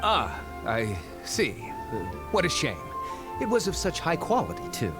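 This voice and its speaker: A shifty video game researcher, a little high in pitch and swinging widely up and down. He is greedy and defensive, wheedling and self-justifying, with music playing clearly behind him. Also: wildly swooping pitch, measured pace. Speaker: game character.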